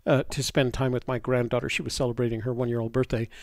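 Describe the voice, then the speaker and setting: An American man in his sixties with a slightly congested voice from a cold, chatting casually and haltingly as a live stream host. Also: medium pitch, flat delivery, dry recording, studio quality. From New Mexico, United States. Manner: halting, often pausing mid-thought. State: stuffy, getting over a cold. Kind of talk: casual live chat, rambling.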